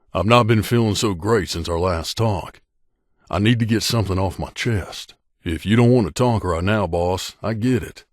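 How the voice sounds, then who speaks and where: A man playing a guarded, earnest mercenary companion in a post-apocalyptic video game, speaking in a measured, confiding way. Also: lowish voice, darkish tone, no room sound, clean studio sound, lightly acted. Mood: earnest, pleading.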